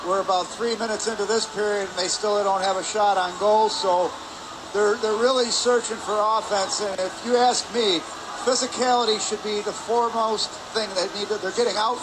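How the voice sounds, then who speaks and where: A man giving live color commentary on a hockey game in measured, explanatory sentences with some hesitation. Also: sits high, steady tone. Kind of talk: live sports analysis. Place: indoor ice rink stands. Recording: clear rink noise behind.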